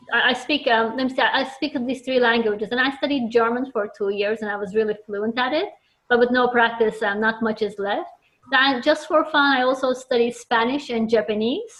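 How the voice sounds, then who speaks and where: A woman's voice: on the high side, fairly bright tone. A woman from Turkey with a Turkish accent, earnestly explaining her work as a children's book author in an interview, often restarting her sentences. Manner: earnest, thoughtful, with frequent restarts. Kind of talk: interview answers. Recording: dull lo-fi audio.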